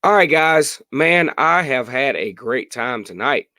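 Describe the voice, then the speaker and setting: A hoarse man in his late thirties hosting a casual sports podcast live stream, chatting freely and answering viewer comments. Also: medium pitch, animated, a little slow, pushed and bright, dry recording, clean studio sound. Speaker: podcast host.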